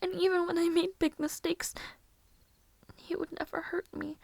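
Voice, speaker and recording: A weary, mistreated young maid, acted by a woman, murmurs to herself in an audio drama. Her high, breathy voice is slow and often creaky, drifting into whispers. Her phrasing is soft, formal and old-fashioned. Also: no echo, exaggerated voice. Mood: sad, resigned. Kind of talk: audio roleplay monologue.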